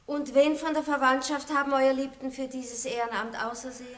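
Gelassen-ironische Fürstin, hallig. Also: female voice, high voice, flat delivery, a little fast, fairly bright tone. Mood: ironic. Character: poised, ironic aristocratic princess. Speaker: radio play actress. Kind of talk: 1960s radio drama acting. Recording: roomy echo.

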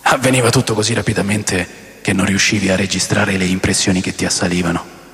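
An actor playing a wistful, intense rock fan narrating a radio drama monologue in a theatrical way, from hushed to emphatic. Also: male voice, low-pitched, fairly even intonation, brisk. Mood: hushed awe. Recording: long reverb, clear music bed.

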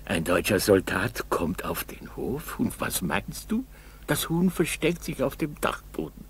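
An actor playing a kindly old Russian village grandfather in a 1960s radio play, chatty, coaxing and husky. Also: on the low side, sing-song, heavily acted. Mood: amused storytelling. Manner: folksy, coaxing, halting storytelling. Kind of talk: 1960s German school radio play acting.

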